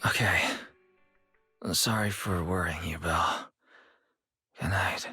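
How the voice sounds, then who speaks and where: An earnest young protagonist recounts events in a calm, plain way. His tone is bright and forceful, and soft game music plays underneath. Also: low-pitched, slow pace, breathy edge, no room sound, heavily acted. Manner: urgent shouts in danger, calm and plain when talking. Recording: game cutscene with faint music.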